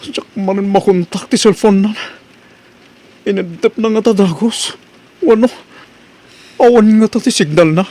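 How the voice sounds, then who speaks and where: A man's voice: high-pitched, measured pace, long pauses, warm tone. A man playing a worried, bewildered husband in an Ilocano radio drama, speaking hesitantly as if thinking aloud. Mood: anxious, upset. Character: worried, bewildered husband confiding in his mother.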